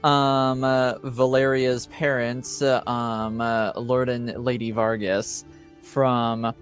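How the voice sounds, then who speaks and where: A man casually recapping a tabletop roleplaying session as game master, haltingly, searching for his words. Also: mid-range voice, little pitch movement, soft background music.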